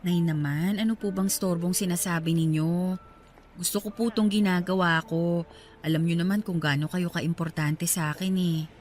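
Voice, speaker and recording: A woman's voice: lowish voice, fairly even intonation, normal speed, a little mellow, a little hiss or hum, lightly acted. A woman playing a caring, respectful young woman in a radio drama, speaking with affection. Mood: affectionate, reassuring.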